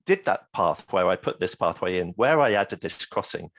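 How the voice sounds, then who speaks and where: A man's voice: deep voice, lively intonation, moderate pace, a bit bright, dry recording. A man giving an earnest online conference presentation. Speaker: presenting speaker. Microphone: video call. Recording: dry, muffled video call.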